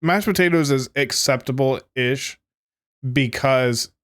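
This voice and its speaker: A man's voice: medium pitch, slow, a bit bright, no room sound. A man co-hosting a casual podcast, laughing a lot and filling his talk with "like". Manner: laughs often, says like a lot. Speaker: podcast co-host. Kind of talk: casual chat.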